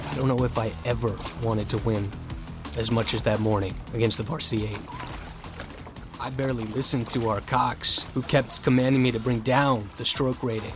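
A man plays an earnest young rower telling his own story, with clear music behind him. His mid-pitched voice is candid and introspective, in first-person audio drama narration. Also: steady tone, normal speed, natural voice. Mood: determined, reflective. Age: young adult. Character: earnest, competitive young novice male rower.

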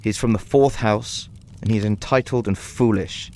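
An actor playing a curt, wary rebel leader in a fantasy audio drama, speaking tersely and with command. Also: male voice, lowish voice, normal speed, a little mellow, lightly acted. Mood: commanding, irritated.

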